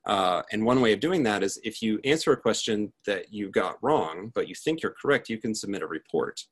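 This is a man with a fairly low voice. He speaks as a presenter giving a prepared research talk. His delivery is that of someone explaining work to an audience. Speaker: conference speaker, tech research lead.

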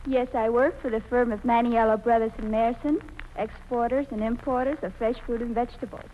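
A woman's voice: on the high side, fairly even intonation, fairly quick. Female quiz guest from Spain, native Spanish speaker, polite and brief, muffled old radio recording, faint noise. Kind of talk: polite, brief interview answers.

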